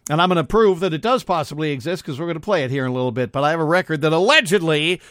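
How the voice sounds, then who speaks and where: A man's voice: fairly high pitch, animated, rapid-fire, a bit bright, dry recording, studio quality. A man hosting an old-time oldies radio show, chatting casually and rambling as he loses his thread between records. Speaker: radio host.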